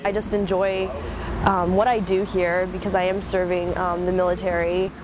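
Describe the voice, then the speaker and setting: A woman's voice: lowish voice, moderate pace, noisy background. A young woman, a lead lifeguard, talking casually about her work with plenty of fillers, recorded outdoors on a pool deck. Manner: relaxed, chatty with many fillers. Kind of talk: casual documentary interview. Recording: music bed underneath.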